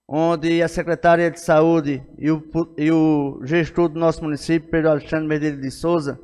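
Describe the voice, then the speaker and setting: A man with a Northeastern Brazilian accent addresses a council session in an oratorical, insistent manner. His voice is high for a man and very clean in tone. It rings through the chamber with a long reverb. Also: clear, resonant tone. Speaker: city councillor. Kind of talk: political speech in a plenary session. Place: indoor council chamber at a lectern. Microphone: gooseneck podium microphone. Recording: echoey hall with long reverb.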